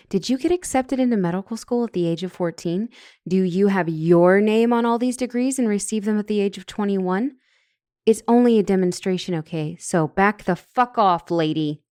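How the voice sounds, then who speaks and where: A woman acts out a brilliant young female couples therapist in a comedic audio roleplay. Her voice is mellow and closely, dryly recorded. Her professional composure slips easily into flustered rambling. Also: mid-range voice, dry recording, studio quality, close to an everyday voice. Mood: indignant.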